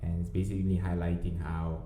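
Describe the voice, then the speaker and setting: A man's voice: low voice, level tone, brisk, warm tone. A man from Namibia hosting a podcast in laid-back casual conversation, laughing often and swearing now and then. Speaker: podcast host. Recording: echoey room with faint ambience.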